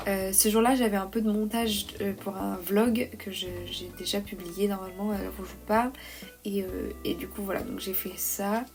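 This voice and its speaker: A young woman with a slight head cold, talking softly and hesitantly in a calm, cosy vlog voice-over. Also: medium pitch, moderate pace, vocal fry, over music. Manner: soft, hesitant, many "euh" fillers. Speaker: vlogger, freelance illustrator.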